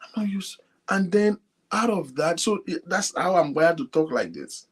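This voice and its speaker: A man hosting an online talk show, speaking softly and addressing his viewers directly. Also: sits high, expressive, normal speed, slightly rough. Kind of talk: casual talk to a live audience. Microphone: phone linked to a computer.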